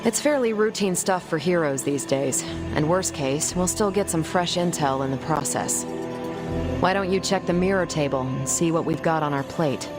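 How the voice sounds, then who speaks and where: A woman plays a businesslike commander giving a game mission briefing. Her voice is slightly low and composed, explanatory in delivery. Loud music runs underneath. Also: moderate pace, lightly acted. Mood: calm, businesslike. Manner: matter-of-fact, explanatory. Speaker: video game voice actor.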